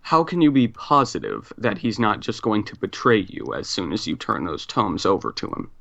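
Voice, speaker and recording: A man's voice: on the low side, huge pitch swings, normal speed, creaky, a bit bright, lo-fi sound. A man playing in a tabletop game, talking and asking questions casually.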